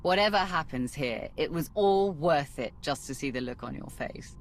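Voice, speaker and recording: A battle-hardened female commander for a video game, low in pitch and dryly menacing. She sounds smug and ruthless, with a faint bed of noise behind her. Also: expressive, moderate pace, rough recording. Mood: dark amusement. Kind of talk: video game cutscene acting.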